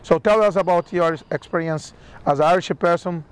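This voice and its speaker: A Brazilian man with a Brazilian Portuguese accent, interviewing a guest in halting English and laughing easily. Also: high voice, smooth, rough recording. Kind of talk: casual street interview.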